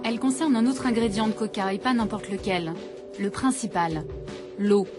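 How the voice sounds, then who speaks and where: A woman's voice: mid-range voice, moderate pace, over music. A woman from France, a journalist, reading scripted first-person narration for a documentary.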